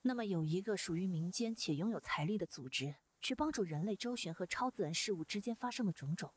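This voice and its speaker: An actress playing a gentle but resolute young woman with a husky voice in a visual novel. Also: lowish voice, animated, fast. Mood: earnest.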